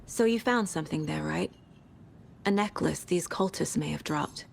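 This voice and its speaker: A composed female investigator in a video game drama. Her voice sits low with a gravelly edge, and she questions people in a measured, businesslike way over faint ambient noise. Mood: pondering. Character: calm, probing female FBI agent investigating cult murders. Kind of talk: naturalistic video game acting.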